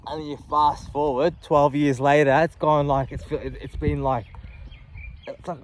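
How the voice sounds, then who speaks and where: A man in his late thirties talking candidly about his life in a reflective, halting way, often restarting and adding "you know". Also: on the high side, level tone. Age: 30s. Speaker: vlogger. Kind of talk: personal reflective monologue.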